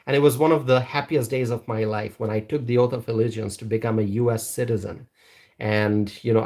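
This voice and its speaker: Earnest man chatting to viewers. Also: mid-range voice. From Bangladesh. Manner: earnest, repeats words, many ums and uhs. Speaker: YouTube channel host. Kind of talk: casual livestream chat reading viewer comments. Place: Wisconsin.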